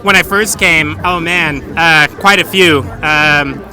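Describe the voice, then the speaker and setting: A man's voice: fairly high pitch, slow pace, low-quality recording. A man from Colorado, chatting loosely in a street interview. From Colorado, USA. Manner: relaxed, rambling, laughs. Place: busy city street, outdoors. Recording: street crowd and music behind.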